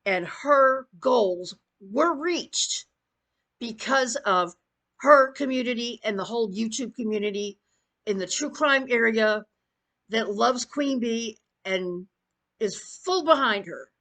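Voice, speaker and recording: A woman's voice: a little high, takes it slowly, fairly bright tone. A woman giving an opinionated rant as an online commentator, blunt, emphatic and scornful in her delivery. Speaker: YouTube commentator.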